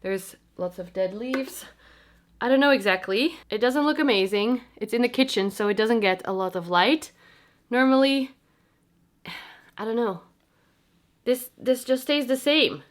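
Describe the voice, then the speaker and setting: A woman's voice: a little high, measured pace, pauses often. A young adult woman with a stuffy, congested voice from a cold, chatting casually to camera at home, self-deprecating and explaining things as she goes. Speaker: plant vlogger. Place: home, indoors.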